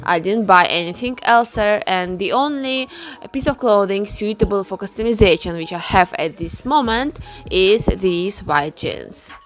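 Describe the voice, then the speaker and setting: A woman from Ukraine, a clothing customization creator, speaking slowly in a halting and emotional way in a personal vlog voice-over. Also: medium pitch.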